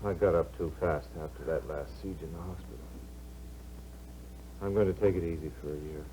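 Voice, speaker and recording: An actor playing a tired young husband who is getting over an illness, speaking in a weary but determined way. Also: male voice, low-pitched, steady tone, brisk, warm tone, a little hiss or hum, lightly acted, low-quality recording. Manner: tired, resolute. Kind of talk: 1950s radio drama acting.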